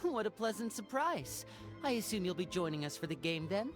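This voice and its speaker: A woman's voice: mid-range voice, big pitch swings, a little fast, over music, close to an everyday voice. A woman voicing a polite, charming young performer in video game dialogue.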